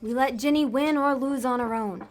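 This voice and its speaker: A woman playing a bossy, cynical schemer with a soft spot in an audio drama, speaking in blunt, terse lines. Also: sits high, moderate pace, close to an everyday voice. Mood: resolute, weary. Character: bossy damned soul, cynical schemer turned softhearted.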